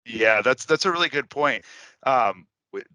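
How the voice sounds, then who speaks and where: A man's voice: medium pitch, animated, a little slow, sharp tone, clean tone, no echo. A man who runs a cleaning business, hosting a casual interview in a loose, chatty way with many fillers.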